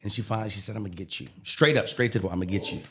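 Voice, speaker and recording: A man's voice: fairly low pitch, racing, a bit bright, a little hiss or hum. A man, a podcast guest, telling a profane, joking story in a studio on a desk mic. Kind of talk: comic storytelling. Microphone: desk mic on boom arm.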